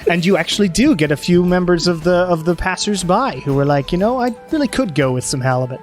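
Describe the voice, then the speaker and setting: Man, game master, slightly high voice, rambling narration, faint music behind. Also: expressive, quick pace. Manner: loose, rambling narration with fillers.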